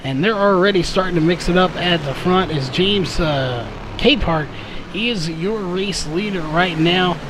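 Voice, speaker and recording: Man, play-by-play race caller, high voice, engine noise behind, filler-heavy. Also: a little slow. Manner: many fillers and self-corrections. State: slightly losing his voice. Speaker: play-by-play commentator. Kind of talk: live race commentary.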